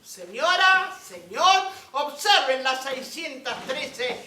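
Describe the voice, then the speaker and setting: A woman plays a salesy 1950s radio announcer who speaks to her listeners. The voice is high and bright, unhurried. It is heard from some distance in a roomy space. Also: expressive, slight background noise, heavily acted. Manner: salesy, addresses the audience directly. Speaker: radio announcer in a stage play. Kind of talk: 1950s radio advertising acting, stage performance.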